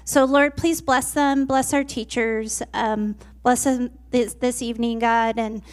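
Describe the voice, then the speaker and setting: A woman's voice: fairly high pitch, steady tone, measured pace. A woman pastor giving church announcements and a prayer, earnest and a little hesitant.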